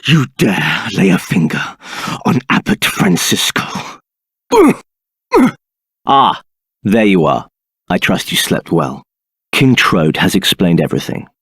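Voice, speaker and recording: An actor playing an arrogant, contemptuous templar captain in a video game, with a haughty, clipped, sneering delivery. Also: male voice, deep voice, lively intonation, takes it slowly, pauses often, a bit bright, slightly rough, no echo, clean studio sound, full character voice. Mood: stiff, formal. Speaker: game character voice.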